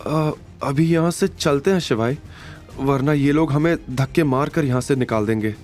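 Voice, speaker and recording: A timid young woman acted by a male narrator in an audio story. The voice is lifted high, hesitant and questioning, humble and unsure of itself, with music underneath. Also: normal speed, a little mellow, lightly acted. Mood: hesitant, worried.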